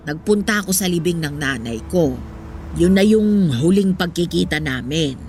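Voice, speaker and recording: A woman playing an old, poor Filipino mother in a radio drama, wistful and gently wise, speaking in an earnest, reflective way. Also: lowish voice, lively intonation, moderate pace, a little hiss or hum, natural voice. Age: older. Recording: music behind the voice.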